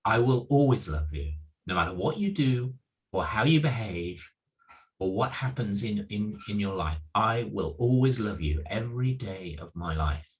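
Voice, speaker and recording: A man's voice: fairly low pitch, lively intonation, normal speed, rough recording. A middle-aged man giving an earnest sermon, stressing and repeating his key points emphatically. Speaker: preacher at a church. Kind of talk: sermon, reflecting on a Bible passage.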